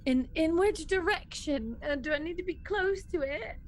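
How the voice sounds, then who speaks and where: A woman's voice: very high-pitched, lively intonation, moderate pace. A woman chatting casually as a player in a tabletop role-playing game, thinking aloud and reacting readily.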